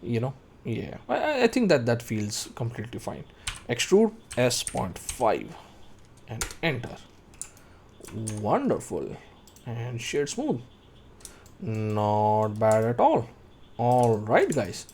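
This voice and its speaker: A man in his thirties talks through a 3D modeling tutorial, calling out shortcuts and musing aloud as he works. His pitch moves up and down a lot. Faint typing and desk noise sit under his voice. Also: wildly swooping pitch, slow pace, pauses often, darkish tone. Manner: thinking aloud while working, calling out shortcuts. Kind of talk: screen-recorded software tutorial.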